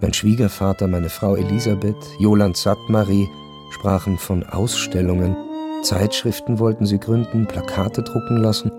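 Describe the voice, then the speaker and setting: Measured male narrator, reflective. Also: on the low side, fairly even intonation, music in the background. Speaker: narrator of radio drama. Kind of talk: literary first-person narration.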